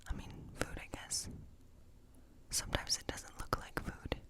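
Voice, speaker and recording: A woman playing a quirky, playfully spooky witch in a whispered ASMR role play, murmuring haltingly and repeating words to herself. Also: natural voice. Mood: musing, bashful. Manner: hushed, halting, muttering repetitions to herself.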